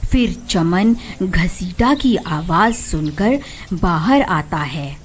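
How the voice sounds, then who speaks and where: A woman's voice: fairly low pitch, animated, drawn-out words, slight background noise. A woman reading scripted horror story narration as the storyteller. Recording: music behind the voice.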